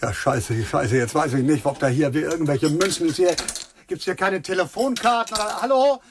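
Radio drama: male actor as blustering, distrustful traveller, high voice, stammers and swears, faint ambient noise behind. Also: moderate pace, natural voice. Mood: exasperated, angry. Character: agitated, distrustful German man stranded in Africa. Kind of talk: naturalistic radio drama acting.

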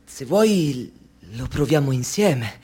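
An actor in a radio play playing a guarded young man who is tense with strangers and gentle with the woman. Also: mid-range voice, animated, slow, breathy edge.

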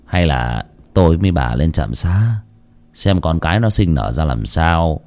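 A man voicing a grieving old village man in a horror story, speaking low and resigned. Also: animated, measured pace, a little mellow, exaggerated voice.

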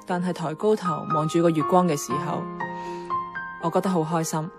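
A woman's voice: on the low side, fairly even intonation, moderate pace, loud music behind the voice, lightly acted. An actress playing a weary, strong-willed office woman in a Hong Kong Cantonese radio drama, mixing in English words. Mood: content. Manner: code-switches into English words. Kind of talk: radio drama dialogue and inner-monologue narration.

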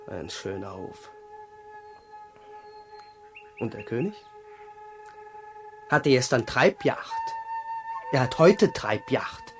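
An actor playing a bitter young crown prince, brooding and mocking in a radio play monologue. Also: male voice, mid-range voice, very animated, takes it slowly, long pauses, soft background music.

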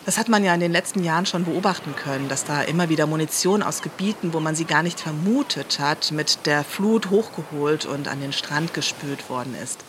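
A woman acting as a glib, bureaucratic spokeswoman in a satirical radio play. She explains in a lowish voice with officious jargon, over faint background ambience. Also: brisk, hardly any pauses, noisy background, natural voice. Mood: upbeat explaining. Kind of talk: mock-official interview statement in satirical drama.

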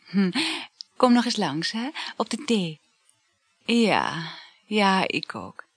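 Lively, husky-voiced flirtatious married woman. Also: mid-range voice, slow pace, no echo, full character voice. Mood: tired, affectionate. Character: chatty, flirtatious married woman juggling several lovers. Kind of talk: Dutch radio play acting.

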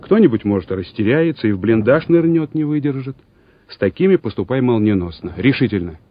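A man's voice: medium pitch, expressive, brisk, no echo, natural voice. An actor playing a resolute, friendly young senior lieutenant commanding his company in a Soviet radio drama. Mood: earnest, firm. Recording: muffled old radio drama recording, noisy.

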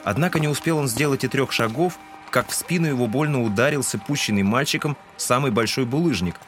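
A man's voice: mid-range voice, brisk, fairly bright tone. A man giving scripted literary narration in an audio drama. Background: music.

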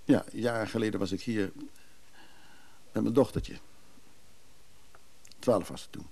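A weary middle-aged businessman in a radio play, wistful and reflective. His low voice is full of gravel and soft around the edges, with hesitant pauses as he confides. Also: full character voice. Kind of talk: naturalistic Dutch radio drama acting.

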